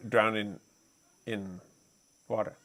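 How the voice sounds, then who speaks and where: A man co-hosting a casual livestream who chats loosely, laughs often and reads a news article aloud haltingly. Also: lowish voice, flat delivery, a bit bright. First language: English, Fijian not first language. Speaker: livestream co-host.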